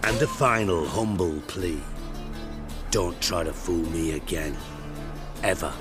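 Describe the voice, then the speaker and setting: Low voiced menacing crime lord for a video game, proud, wordy and deliberate, music behind him. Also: male voice. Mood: cold, menacing. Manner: formal, deliberate, threatening. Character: proud, menacing male crime boss owed his treasure.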